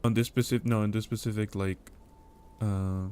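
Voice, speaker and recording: A man's voice: fairly low pitch, flat delivery, moderate pace, a little mellow, clear, resonant tone. A man hosting a casual livestream chat, rambling and joking with many fillers. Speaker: stream host.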